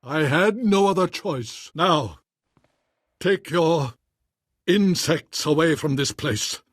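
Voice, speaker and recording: An actor playing a proud nobleman baron in a video game, with a husky voice and a stately, dramatic, formal manner. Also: on the high side, expressive, takes it slowly, no room sound, clean studio sound. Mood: humbled, dignified.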